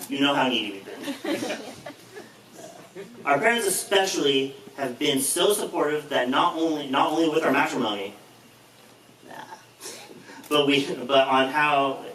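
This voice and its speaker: Young groom, kinda monotone, dry jokes, echoey hall, sounds far off. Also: male voice, on the high side. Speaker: groom giving a thank-you speech. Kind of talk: wedding reception speech. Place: wedding reception hall. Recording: roomy hall mic from a distance, loud noise.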